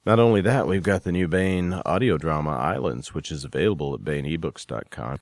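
A man's voice: rumbling low, a little fast, no echo. A man hosting a podcast, reading promotional announcements and introductions. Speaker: podcast host.

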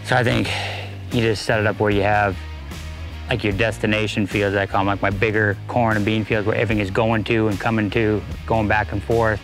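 Low-pitched emphatic man over music. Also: little pitch movement, brisk. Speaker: land agent and hunter. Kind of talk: casual outdoor chat about land. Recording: music bed behind the voice.

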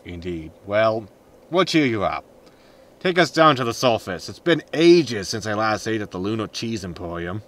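Man as spaceship captain, lowish pitch, casual, wry, teasing, sci-fi audio comedy. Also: very animated, moderate pace, a bit bright.

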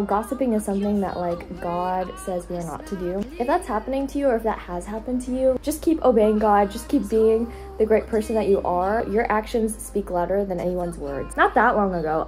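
A woman's voice: medium pitch, mellow. A young woman chatting to her camera as she goes through her day. She talks in a casual, encouraging way, with a mid-range voice and plenty of filler words. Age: young adult. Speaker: college student vlogger. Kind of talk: casual vlog talk to camera.

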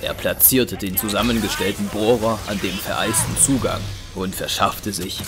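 Low-voiced male drama narrator. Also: steady tone, normal speed, loud music behind the voice. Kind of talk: scripted audio drama narration.

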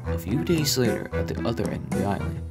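Male storybook narrator: lowish, very animated, theatrical and wry, over loud festive music. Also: fast talker.

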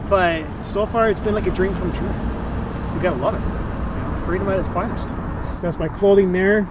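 A man with a fairly high voice talks loosely from inside his parked minivan. He rambles, restarts his sentences and keeps tagging on "right?". Traffic and street noise carry clearly in the background. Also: fairly even intonation, normal speed. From Canada. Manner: rambling, filler-heavy, tags sentences with "right?". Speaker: interviewee. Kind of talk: casual chat. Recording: vehicle and street noise behind. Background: outdoor traffic ambience.

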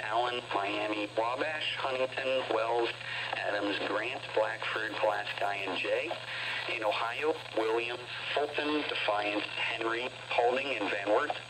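A computer-generated male voice reading a weather radio test announcement in an even, automated delivery. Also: fairly low pitch. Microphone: phone recording a weather radio speaker. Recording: loud hiss through a small radio speaker.

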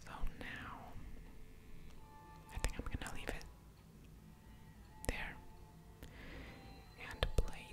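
A woman whispering ASMR game commentary in a breathy voice with soft mouth sounds, musing aloud over each move. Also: very slow, long pauses. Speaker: game streamer, ASMR creator.

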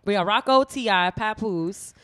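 A young woman with a Trinidadian accent, co-hosting a casual podcast chat on a studio couch, talking loud, slangy and playful into a handheld mic. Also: mid-range voice, moderate pace. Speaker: podcast co-host. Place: small indoor studio set on a couch. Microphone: handheld microphone.

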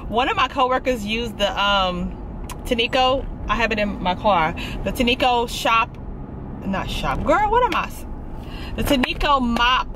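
A woman's voice: a little high, sing-song, measured pace, background noise. An American woman in her thirties chatting casually and familiarly to her viewers as a lifestyle vlogger. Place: car, then a large store.

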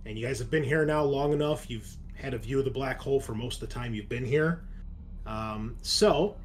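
Male game master, casual and halting, pausing for weight, slight background noise. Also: medium pitch. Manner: halting, deliberate pauses, casual swearing. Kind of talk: casual narration of a tabletop roleplaying game.

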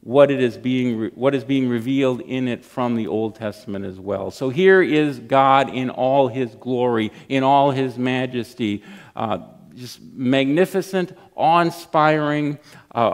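A middle-aged man preaching a sermon from a church lectern, reading scripture and praying with earnest emphasis and pauses. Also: mid-range voice. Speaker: pastor. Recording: long church hall reverb.

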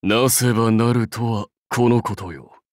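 An actor playing a proud, battle-hungry samurai warlord in a game, with grand, archaic, declamatory speech. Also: male voice, medium pitch, a little slow, dry recording, clean studio sound, natural voice. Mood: satisfied. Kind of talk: anime game voice lines.

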